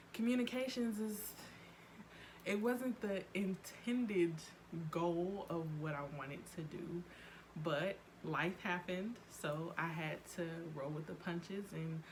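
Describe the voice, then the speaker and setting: Young woman in her twenties, casual vlog chat, lowish voice, faint hum behind. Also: rough recording. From Cleveland, Ohio. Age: 20s. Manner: chatty, lots of ums and likes, quick asides. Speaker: vlogger.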